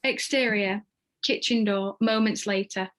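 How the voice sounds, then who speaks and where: Lady reading out script directions, normal pitch, measured narration. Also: fairly even intonation, fairly bright tone. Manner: measured, descriptive.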